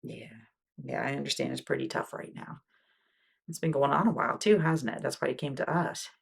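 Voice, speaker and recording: A woman doing an ASMR medical exam roleplay as a wound care clinician, talking gently and reassuringly, with many soft "okay"s and whispered asides. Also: rumbling low, fairly quick, creaky, husky.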